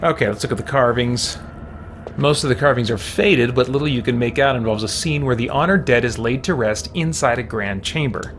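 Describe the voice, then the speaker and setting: A man's voice: medium pitch, brisk, a bit bright. Man streaming a game, noisy background. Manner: thinks aloud, musing. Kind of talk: casual livestream chat while playing and reading game text aloud.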